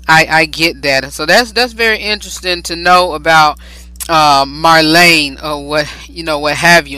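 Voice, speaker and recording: A talk-show host, a man, rambles through his questions with many fillers and steady affirmations. His voice sits fairly high for a man and sounds very bright. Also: poor audio. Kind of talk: interview conversation.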